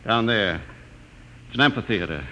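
An echoing old radio recording with faint hiss holds a man's voice as a thoughtful scientist. He explains and ponders in a measured vintage-drama manner, with expressive swings of pitch. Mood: studious. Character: thoughtful male scientist studying a vanished alien race. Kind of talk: 1950s science fiction radio acting.

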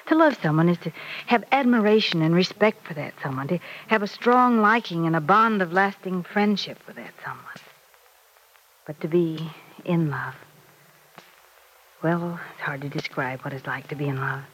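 A middle-aged mother in an old radio serial, advising her grown daughter. Her pitch swoops and dips expressively as she gently probes and coaxes with measured patience. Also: expressive, slight background noise, poor audio. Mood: reflective, tender.

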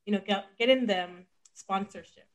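A woman's voice: mid-range voice, moderate pace. A woman hosting a podcast interview, upbeat and casual, with many fillers. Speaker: podcast host.

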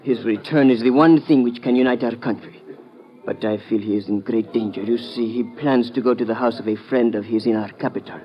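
A man's voice: mid-range voice, fairly even intonation, lightly acted. An actor playing a worried, formal male informant in 1950s radio drama style. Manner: formal, urgent, deliberate. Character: earnest, worried male East European informant. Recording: dull old radio recording.

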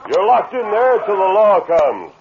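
A man's voice: high-pitched, very animated, unhurried, full character voice. An actor playing a commanding, self-assured masked lawman in an old radio western, speaking in declamatory style. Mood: triumphant, commanding. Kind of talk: 1940s-50s radio western acting. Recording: muffled, narrow-band old radio broadcast, background noise.

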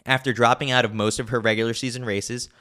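A man's voice: medium pitch, steady tone, fast, sharp tone. A man narrating a scripted sports newsletter as a podcast host, with a wry, opinionated way of talking.

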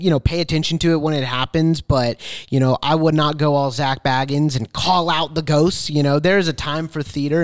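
A man with a mid-pitched, resonant voice hosts a podcast. He speaks informally and conversationally, and the sound is dry with no room echo. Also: smooth. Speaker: podcast host. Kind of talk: casual chat, reading listener questions.

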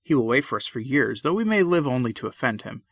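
A man's voice: medium pitch, very animated, fast, slightly rough, dry recording, studio quality. A man reading a sermon aloud in a solemn, impassioned, old-fashioned declamatory style. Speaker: preacher.

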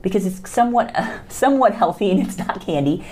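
A woman's voice: medium pitch, expressive, brisk, mellow, slight background noise. A woman, hosting a podcast and casually answering listener questions, with lots of fillers and restarts. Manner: conversational, many fillers and restarts. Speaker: podcast host.

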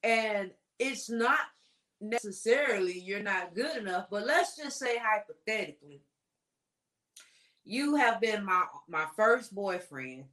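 A woman in her forties co-hosting a casual relationship talk show, explaining her points slowly and laughing often. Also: medium pitch, animated, fairly bright tone. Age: 40s. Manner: slow, deliberate, laughing storyteller. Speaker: podcast co-host.

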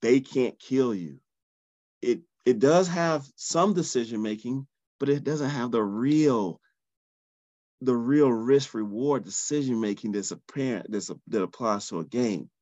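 A man hosting a solo podcast in a groggy early-morning voice, talking in an animated, conversational way and often restarting his phrases. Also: medium pitch, measured pace, dry recording. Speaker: podcast host, soccer training founder.

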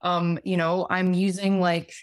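A woman in her late thirties with a raspy voice, explaining things conversationally as an expert guest, often halting and restarting her phrases. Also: lowish voice, flat delivery, no echo, studio quality. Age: late 30s. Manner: halting, self-interrupting, many repeated words. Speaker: podcast guest, medical doctor.

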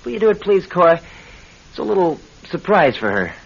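An actor playing a scheming husband, glib and coaxing, in an old-time radio drama. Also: male voice, sits high, sing-song, fairly quick, creaky, slightly rough, exaggerated voice. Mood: breezy, coaxing. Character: scheming husband, smooth and glib, talking sweetly. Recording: muffled, hissy vintage radio recording.

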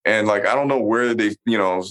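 Blunt low-voiced dude in his 40s, swears a lot, casual podcast chat. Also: a little fast, a bit bright, clean tone, rough recording. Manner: blunt, heavy swearing, emphatic. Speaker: podcast co-host. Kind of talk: casual chat about a TV show.

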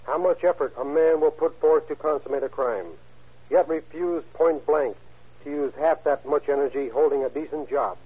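Husky American man giving stiff radio address. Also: mid-range voice. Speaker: police chief, guest speaker. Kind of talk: formal scripted radio address. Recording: thin, narrow-band vintage radio recording.

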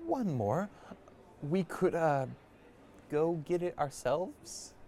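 A man's voice: fairly high pitch, big pitch swings, slow pace, warm tone, a little hiss or hum. A man playing an earnest, hesitant young wizard scholar explaining things in a comedic fantasy audio drama. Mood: tentative.

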